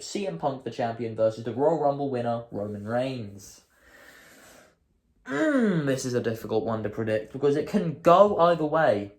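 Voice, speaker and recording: A hoarse man hosting his own show, chatting casually and thinking aloud, often changing his mind mid-sentence. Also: medium pitch, reverberant. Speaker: host. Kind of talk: casual solo commentary.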